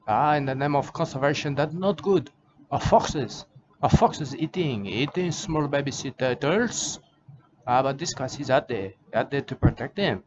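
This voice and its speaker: A man's voice: medium pitch, lightly acted. A man doing a rambling Aussie bloke. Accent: Australian. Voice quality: rambling, broken English, says "mate" constantly. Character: boastful rambling Australian wildlife-loving bloke, adult man. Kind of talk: comedy character monologue. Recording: muffled lo-fi with steady background noise.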